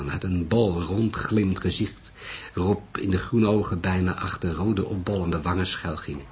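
A man reading a crime novel as an audiobook narrator, in scripted storytelling style. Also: deep voice. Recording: faint background noise.

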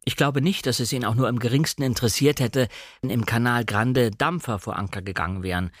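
A man narrating a literary audiobook in a measured, expressive way. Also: mid-range voice, no echo, studio quality.

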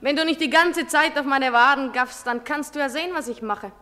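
A woman's voice: high-pitched, fairly quick, over loud music, close to an everyday voice. An actress playing a defiant, wary nineteen-year-old village girl in a German stage drama, with curt, indignant delivery. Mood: defiant, annoyed. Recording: echoey theatre-style hall reverb.

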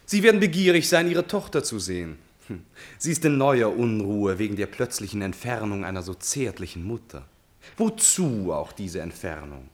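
Actor as suave prince with swooping intonation. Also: male voice, mid-range voice, close to an everyday voice. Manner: courtly, patronising, theatrical. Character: suave, self-assured prince, condescending and smooth-tongued. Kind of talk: German classical radio drama acting.

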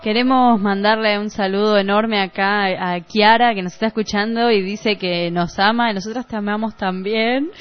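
A woman's voice: mid-range voice, fairly even intonation, moderate pace, hardly any pauses, slight background noise. A woman chatting casually as a radio host. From Argentina. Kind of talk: casual on-air chat. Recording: dull lo-fi radio recording.

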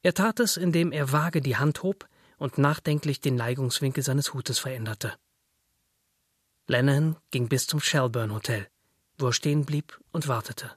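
A man giving a measured, scripted literary narration as a storyteller. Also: medium pitch, husky, no room sound, studio quality. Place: dry studio.

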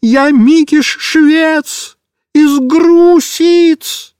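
A man's voice: very high voice, fairly even intonation, drawn-out words, darkish tone, no echo, studio quality, pitched above the performer's own voice, exaggerated voice. A man voicing a small, frightened talking male cat in a storybook reading, plaintive and pleading. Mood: shouting.

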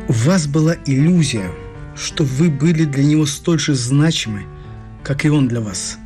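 A man's voice: a little high, measured pace, dark tone, natural voice. An actor playing a blunt, persistent man in a radio play, with a cool, pointed and condescending delivery. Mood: cutting. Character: blunt, persistent Israeli man confronting an older woman. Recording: guitar music under the voice. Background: plucked guitar music.

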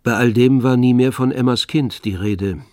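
A man narrating a literary radio drama in a measured, storytelling manner. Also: medium pitch, darkish tone, no room sound. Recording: dry studio, faint music underneath.

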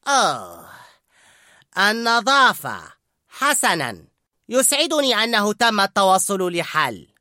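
A woman's voice: mid-range voice, very animated, slow pace, long pauses, pushed and bright, dry recording, clean studio sound, pitched above the performer's own voice, heavily acted. A woman doing a pompous, short-tempered head chef. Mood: fake polite. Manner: pompous, falsely polite.

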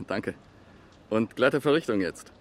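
A man acting a laconic, wry colleague in a 1970s East German radio crime drama, speaking in a deadpan way. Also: fairly low pitch, a little slow, a little hiss or hum. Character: laconic, wry male colleague making small talk. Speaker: actor.

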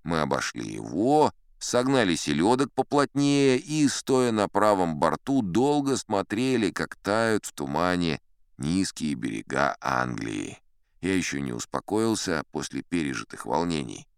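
A man with a low, resonant voice narrates an adventure tale. His delivery is theatrical and highly varied in pitch, with dramatic pauses that shape each phrase like a stage storyteller. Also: very animated, clean tone, no room sound, studio quality. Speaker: audiobook narrator. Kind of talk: expressive scripted adventure storytelling in first person.

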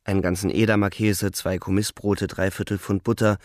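An actor playing a young, weary, shaken soldier on leave, narrating his thoughts in a halting, introspective radio-drama manner. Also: lowish voice, level tone, darkish tone, no room sound, studio quality, close to an everyday voice. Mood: subdued, detached. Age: young adult. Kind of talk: radio drama, first-person interior narration.

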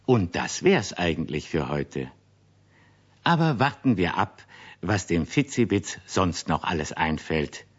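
A man with a very low, husky voice tells a story to children at an unhurried pace. His delivery is conversational and wry, with expressive melody, on a slightly muffled old radio recording. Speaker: narrator of children's radio play series. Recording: dull vintage radio recording.

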